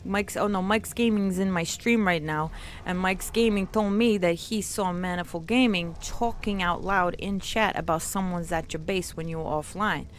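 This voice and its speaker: An exuberant mob boss lady played by a woman chats away over music. She is animated and playful, rambling through a game stream in character. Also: fairly low pitch, normal speed, natural voice. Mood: gossipy, conspiratorial. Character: exuberant mafia don woman with a playful accent. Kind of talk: role-play live stream chat. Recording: music playing behind the voice.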